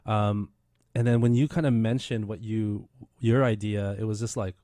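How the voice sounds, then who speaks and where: A man's voice: lowish voice, fairly even intonation, normal speed, mellow, no room sound. A man in his thirties hosting a community radio show in a studio, talking casually with many fillers and restarts. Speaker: radio host.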